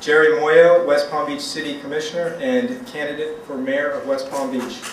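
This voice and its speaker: Heavily echoing, noisy recording of a man as master of ceremonies. He introduces speakers in a slightly high male voice and adds casual asides. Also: flat delivery, moderate pace. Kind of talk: event introductions with light off-the-cuff remarks. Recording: very noisy, echoey public event, distant mic.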